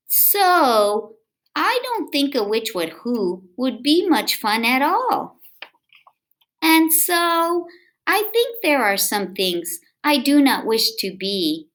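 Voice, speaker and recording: A woman doing an expressive picture-book read-aloud for children, drawing out words and adding chatty questions to her young listeners. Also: on the high side.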